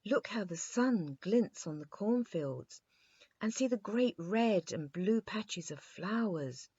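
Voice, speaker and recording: A woman's voice: medium pitch, expressive, slow pace, warm tone, slightly rough, no room sound, muffled recording, voice pushed up. A woman voicing a spirited, frank, cheerful little girl in a children's storybook reading. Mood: insistent.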